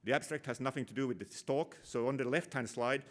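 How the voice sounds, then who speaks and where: Man, middle-aged, from Finland, conference talk, rambling, self-deprecating, lots of fillers, mid pitch. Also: fairly quick, fairly bright tone. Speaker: conference speaker, operations manager. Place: conference room.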